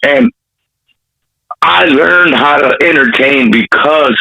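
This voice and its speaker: A man's voice: on the high side, big pitch swings, takes it slowly, vocal fry, sharp tone, poor audio. A man from Compton with an urban Los Angeles accent, telling stories as a rapper in a casual interview, using streetwise slang and frequent swearing. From Compton, California. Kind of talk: animated storytelling in a casual interview.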